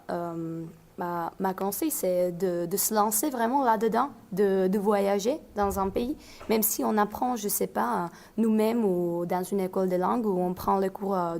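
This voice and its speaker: A woman student giving advice in an interview, in measured, slightly non-native phrasing. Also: mid-range voice, creaky, a little mellow. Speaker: student guest.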